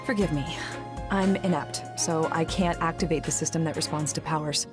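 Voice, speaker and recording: A woman's voice: low-pitched, fairly even intonation, moderate pace, over music, lightly acted. A woman playing a flustered, apologetic civilian in a video game cutscene.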